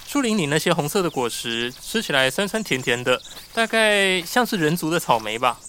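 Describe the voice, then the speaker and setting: A man acting as a calm, cautious guide in an audio drama, explaining things in a matter-of-fact way. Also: a little high, a little fast, slight background noise. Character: calm, cautious male guide to a hidden tribe's land. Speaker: voice actor.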